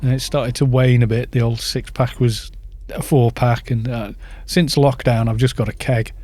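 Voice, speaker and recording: A man's voice: medium pitch, flat delivery, moderate pace, mellow. A British man in his fifties chatting in a rambling way while streaming a game and reading out viewer chat. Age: 50s. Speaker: gaming streamer.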